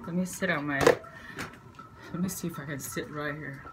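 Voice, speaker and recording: Slow, halting woman, Chinese native. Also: low voice, steady tone, very noisy. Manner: slow, halting, playful, with fillers and self-corrections. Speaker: video creator. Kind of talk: casual explainer lesson.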